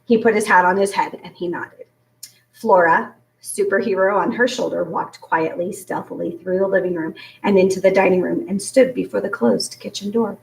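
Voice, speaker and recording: A woman's voice: mid-range voice, fairly even intonation, moderate pace, creaky. Echoey woman reading a children's story aloud. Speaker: story reader. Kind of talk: expressive children's book read-aloud. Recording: roomy echo, distant from mic.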